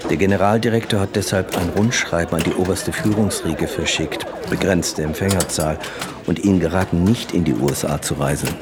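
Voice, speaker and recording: A male actor plays a company insider in a radio drama. His voice is very low and confiding, conversational in delivery, with a busy crowd audible around him. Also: brisk, natural voice. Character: male company insider passing information to investigator. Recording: busy crowd chatter behind.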